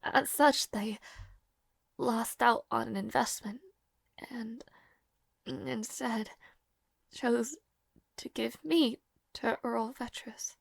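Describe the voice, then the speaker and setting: A woman playing a timid, devoted young maid in a dramatic audio roleplay, with halting, stammering, breathy speech. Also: on the high side, no room sound, exaggerated voice. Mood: resigned, sorrowful.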